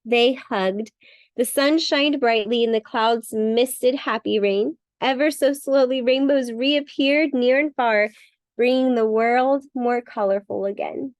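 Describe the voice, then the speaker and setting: A woman reading a bedtime story for children in an expressive, emphatic way. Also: a little high, slow pace, no echo. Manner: expressive, emphatic with repeated words. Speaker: storybook reader.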